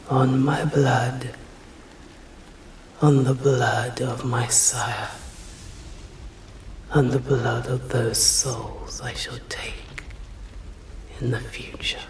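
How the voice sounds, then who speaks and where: English-accented female vampire, voiced by a woman for ASMR roleplay: stern yet protective, hushed and formal, very unhurried, with a reverberant room sound. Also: extremely low pitch, little pitch movement, a little hiss or hum, heavily acted. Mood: hushed, tender. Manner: slow hushed whispering, formal old-fashioned phrasing.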